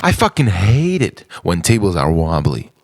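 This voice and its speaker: A man performing a theatrical, stream-of-consciousness spoken-word monologue in a brooding, eccentric, gravelly manner. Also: deep voice, huge pitch swings, normal speed, dry recording, clean studio sound. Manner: dramatic, brooding, swinging between murmurs and eccentric outbursts. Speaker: writer-performer of a personal monologue.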